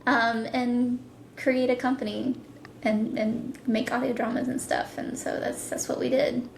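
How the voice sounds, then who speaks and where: A woman's voice: on the high side, flat delivery, unhurried, vocal fry, a little hiss or hum. A woman chatting casually as a guest in a podcast interview. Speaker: guest, audio drama producer and voice actor. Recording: roomy, echoing sound.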